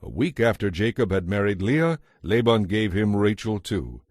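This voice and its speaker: Deep, expressive male Bible narrator. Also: normal speed, no room sound, studio quality. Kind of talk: dramatized scripted Bible narration.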